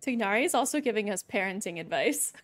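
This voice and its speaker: Casting: female game streamer, medium pitch, casual and chatty live commentary, playful reactions, very dry close sound. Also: lively intonation, fast talker, dry recording. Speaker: game livestreamer.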